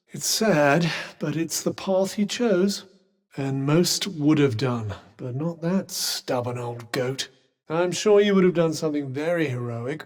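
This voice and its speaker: Snarky talking bracelet, dry and teasing, video game companion. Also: male voice, fairly high pitch, darkish tone. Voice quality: dry, arch, wry delivery. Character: sardonic talking magical bracelet, male, wry companion.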